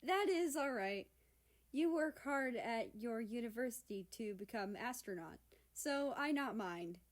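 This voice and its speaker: A woman's voice: a little high, animated, measured pace, pitched above the performer's own voice. A woman voicing a kind younger sister who tends bar in a storybook, easygoing and giggly, speaking broken English. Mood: reassuring.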